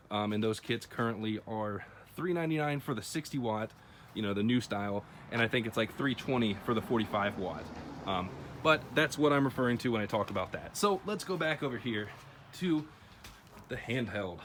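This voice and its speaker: A man's voice: mid-range voice, slight background noise. A man hosting a casual product review to camera in an informal, plain-spoken, conversational way. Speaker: video host.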